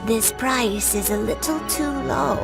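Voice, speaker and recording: A woman's voice: on the high side, vocal fry. A woman voicing a kindly but shrewd old grandmother who keeps a shop in a video game, with an aged, grandmotherly way of speaking. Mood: grudging, resigned. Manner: unhurried, grandmotherly. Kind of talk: video game character acting. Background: music.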